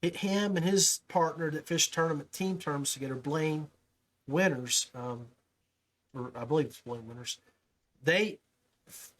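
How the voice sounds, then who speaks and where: Higher-pitched American man, folksy podcast host. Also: moderate pace, pauses often, rough recording. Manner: folksy, informal speech. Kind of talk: casual podcast talk and interview.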